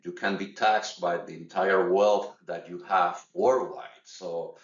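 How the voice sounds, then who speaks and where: A middle-aged or older man from Puerto Rico with a Puerto Rican Spanish accent, a native Spanish speaker presenting an instructional webinar in a halting, stumbling delivery. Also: deep voice, steady tone. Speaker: tax accountant presenting a webinar. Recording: distant, roomy, dull lo-fi microphone.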